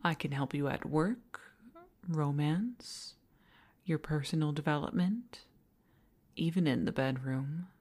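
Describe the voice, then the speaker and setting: A woman playing an obsessive older female boss in an intimate audio roleplay, coaxing with condescending sweetness and slipping into breathy half-whispers. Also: deep voice, slow, pauses often, a little mellow. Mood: seductive, persuasive.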